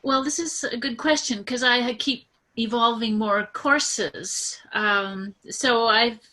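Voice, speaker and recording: A nervous woman explaining her business idea on a video call, halting and full of fillers and restarts. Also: fairly high pitch, bright, forceful tone. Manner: hesitant, many fillers and restarts. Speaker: coaching client.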